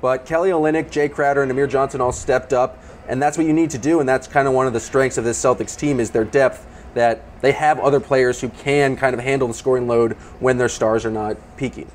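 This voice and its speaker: A man's voice: medium pitch, steady tone, fairly quick, a little hiss or hum. A man talking as a panelist in a casual basketball discussion. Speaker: sports panelist.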